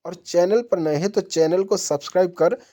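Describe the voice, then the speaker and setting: Mellow-voiced woman teaching. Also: fairly low pitch, normal speed. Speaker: exam-prep teacher. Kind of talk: question-and-answer quiz lesson narration.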